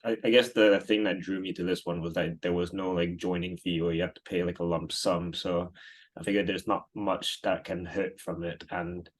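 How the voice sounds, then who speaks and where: A man in his thirties from Singapore, answering interview questions in a relaxed, rambling way with many fillers and restarts. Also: deep voice, moderate pace. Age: 30s. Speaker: guest.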